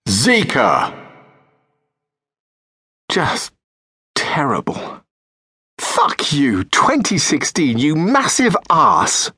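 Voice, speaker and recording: A British man reads a comic audiobook with sardonic, profane deadpan timing. His voice is a little husky and sits slightly high for a man. His pitch swings widely, and the close mic gives a dry, dead studio sound. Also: dry recording. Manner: lively, wide-ranging pitch. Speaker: audiobook narrator. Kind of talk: scripted comic narration.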